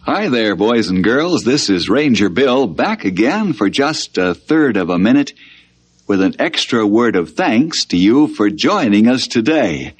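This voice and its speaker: A man's voice: medium pitch, sing-song, a little slow, husky. A man playing a friendly, fatherly ranger leader with an instructive manner in an old-time radio adventure. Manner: avuncular, instructive.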